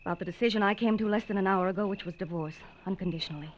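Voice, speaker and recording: An actress playing a tough-minded, bitter wife in a 1940s radio drama, hard-edged and controlled. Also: female voice, medium pitch, racing, slight background noise, lightly acted. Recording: muffled vintage radio recording.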